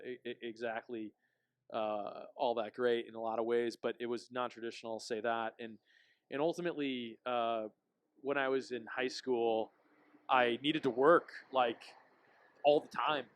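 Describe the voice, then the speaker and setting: A man's voice: mid-range voice, fairly even intonation, normal speed, smooth, no echo. A young adult man from Connecticut, a podcast guest who talks in a reflective, thoughtful way with many fillers. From Connecticut, New England. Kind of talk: casual podcast chat.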